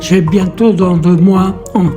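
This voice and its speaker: A man in his nineties, a retirement home resident, recalling his childhood in a halting, repetitive way. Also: high-pitched, fairly even intonation. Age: 90s. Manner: halting, repeats himself. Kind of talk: recalling memories in an interview. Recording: roomy with music underneath.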